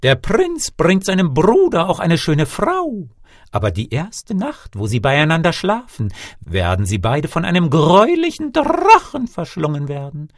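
A mournful male dove from a fairy tale, played by a man, prophesying doom. He speaks slowly and portentously, with a raised, swooping pitch and rhyming cadence. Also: wildly swooping pitch, no room sound, clean studio sound, heavily acted. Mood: ominous lament.

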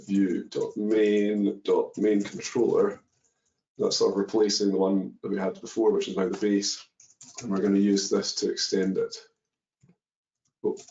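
Low flat-voiced man explaining code. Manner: explains step by step with many ums and uhs. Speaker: webinar presenter. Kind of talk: live coding walkthrough. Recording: dull echoey webinar audio.

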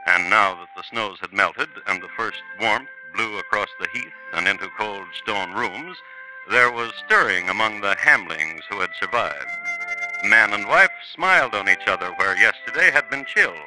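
A man's voice: on the low side, expressive, normal speed, poor audio. A man narrating a true-crime radio drama as its host, telling it with wry, theatrical flair. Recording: vintage radio broadcast with music.

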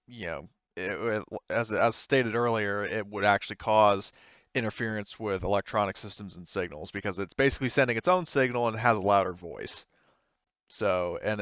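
A man improvising tabletop game narration as game master, explaining in a hesitant, halting way. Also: on the low side, fairly bright tone, smooth, no echo, highs cut off.

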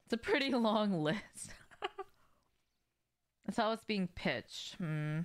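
A woman's voice: medium pitch, animated, slow pace. A young woman game streamer chatting casually with her viewers while playing, informal, slangy and prone to swearing. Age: young adult. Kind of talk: casual livestream chat with viewers while gaming. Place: indoors, small home room with shelves.

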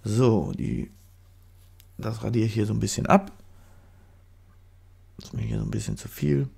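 A man's voice: fairly low pitch, sing-song, moderate pace, creaky, mellow, slightly rough. A man with a stuffy nose from a cold, chatting casually through a photo-editing tutorial voice-over, rambling and correcting himself as he goes. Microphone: studio microphone.

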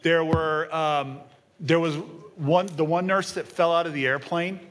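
A man's voice: fairly high pitch, steady tone, a bit bright. Man lecturing from a panel. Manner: measured, with frequent ums and restarts. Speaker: panel speaker. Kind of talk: lecture and audience Q&A. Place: auditorium stage panel table. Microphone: table microphone.

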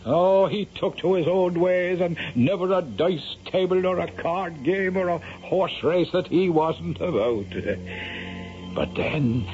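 An actor playing a sly, garrulous Irish storyteller in a radio drama, blustering and stammering with an Irish accent. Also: male voice, mid-range voice, a little mellow. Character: sly, garrulous Irish storyteller and anxious old rogue father. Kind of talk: 1970s radio drama acting. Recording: dull, noisy 1970s radio broadcast.

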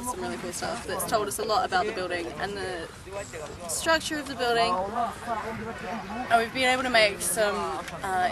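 An eager woman archaeologist talks through a dig site in a chatty, restarting way. She speaks outdoors at mid pitch, with loud crowd noise behind her. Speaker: archaeologist on the excavation team. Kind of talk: on-site explanation to camera.

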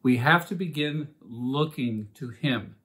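A man's voice: medium pitch, fairly bright tone. Earnest man teaching a Bible study. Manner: earnest, measured, reads scripture aloud.

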